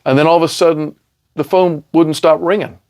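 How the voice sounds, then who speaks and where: A man's voice: a little high, fairly even intonation, fairly quick, darkish tone. Casual middle-aged British man telling stories. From born in Milan, grew up in England and Scotland. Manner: informal, peppers talk with "man" and "you know". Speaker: podcast guest, recording engineer and studio owner. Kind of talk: casual interview storytelling. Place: recording studio.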